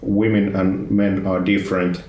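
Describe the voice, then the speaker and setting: A man with a Finnish accent hosting a podcast in casual conversation, speaking haltingly with many restarts. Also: low-pitched, level tone, a little mellow, a little hiss or hum. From Finland. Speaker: podcast host. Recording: roomy sound, distant from mic.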